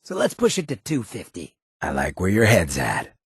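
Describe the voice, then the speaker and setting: A sardonic ghoul outlaw from a video game, with a heavily rasping, creaky voice. He is sly, laid-back and streetwise, a swaggering town boss who talks in slang. The sound is close and dry. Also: low voice, wildly swooping pitch, normal speed, dry recording, full character voice. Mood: wry bargaining. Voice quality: gravelly, raspy. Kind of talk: video game companion voice lines.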